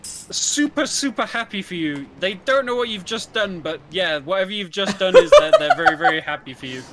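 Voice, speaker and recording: A man's voice: sits high, huge pitch swings, brisk. A man giving chatty, emphatic co-commentary on a video game speedrun, explaining it casually and repeating words for stress. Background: video game music.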